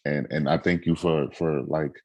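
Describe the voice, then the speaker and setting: A man who is a featured music artist, talking in a relaxed and grateful way, with plenty of fillers. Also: rumbling low, normal speed, dry recording, highs cut off. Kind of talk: casual video call chat.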